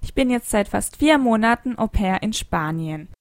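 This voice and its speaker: A young adult woman, an au pair, reading a short scripted account of her day in a clear, even way. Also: medium pitch, moderate pace, dry recording, clean studio sound. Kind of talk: scripted monologue for a listening exercise.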